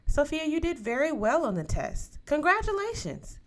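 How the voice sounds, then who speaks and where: A woman reading the part of an eager, encouraging male school recruiter in a story, with a polite, enthusiastic pitch. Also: huge pitch swings, normal speed. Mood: upbeat, encouraging.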